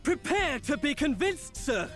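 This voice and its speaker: An actor playing a pompous, condescending self-styled scholar of golems in a video game, speaking theatrically. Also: male voice, very high voice, very animated, unhurried, a bit bright, faint music behind, full character voice. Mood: exasperated, pompous. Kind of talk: video game character acting.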